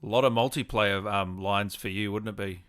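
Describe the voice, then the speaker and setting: A man's voice: lowish voice, fairly even intonation, normal speed, fairly bright tone. A man interviewing a guest as a livestream host, chatty and agreeable.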